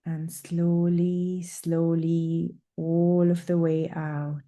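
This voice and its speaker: Slow, soothing woman guiding breathing. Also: on the low side, flat delivery, warm tone. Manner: slow, soothing and drawn out, with long pauses. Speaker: meditation guide. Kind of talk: guided breathing meditation.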